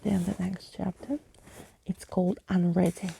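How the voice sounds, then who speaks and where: Very creaky, soft ASMR woman storyteller. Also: on the low side, flat delivery, a little slow, a little hiss or hum. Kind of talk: ASMR bedtime story reading.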